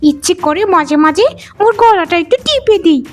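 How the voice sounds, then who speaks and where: Woman acting very shrill, scheming young daughter-in-law. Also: lively intonation, moderate pace, faint music behind. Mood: irritated, plotting. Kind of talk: Bengali animated moral-story voice acting.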